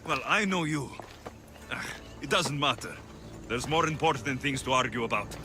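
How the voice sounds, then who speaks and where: A man's voice: mid-range voice, normal speed, over music, natural voice. A man playing a cocky, pleading warrior, a protective older brother in a video game. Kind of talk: dramatic video game cutscene acting.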